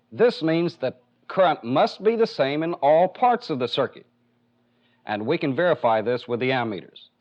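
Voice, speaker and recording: A man with an American accent giving a methodical, step-by-step technical demonstration as an instructor in a training film. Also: medium pitch. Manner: methodical, plainly explanatory. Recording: dull, band-limited 1970s film soundtrack.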